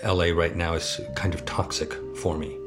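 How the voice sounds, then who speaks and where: Deep-voiced reflective middle-aged man. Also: level tone. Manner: thoughtful, halting, restarts mid-thought. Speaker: documentary interviewee. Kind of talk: reflective personal interview. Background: loud guitar music.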